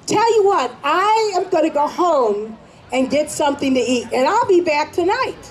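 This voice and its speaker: A woman voicing a clever male rabbit who speaks calmly and teasingly. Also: high voice, moderate pace, low-quality recording. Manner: calm, teasing.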